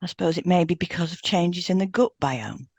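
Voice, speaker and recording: A woman's voice: lowish voice, fairly quick, darkish tone, slightly rough, no echo. A woman reads as co-host of an educational podcast, with a very dry, close sound. Her delivery is informative and scripted, spelling out terms carefully.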